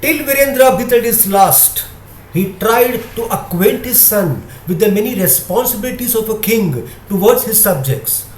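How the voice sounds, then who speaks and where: A man narrating a scripted moral story in a measured, carefully enunciated storybook manner. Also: high voice, darkish tone. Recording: echoey room with faint noise.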